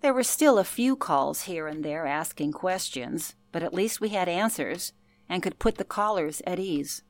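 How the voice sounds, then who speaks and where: An actress playing a former telephone operator who recalls past events in a reflective, earnest interview style. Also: female voice, lowish voice, expressive, normal speed, no echo. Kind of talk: interview-style narration in radio play.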